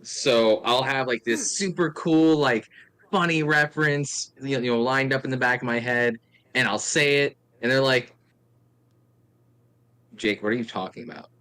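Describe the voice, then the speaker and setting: A man's voice: medium pitch, normal speed, sharp tone, clear, resonant tone. A man in his thirties chatting casually, with a joking manner and wry exaggeration. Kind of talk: casual chat.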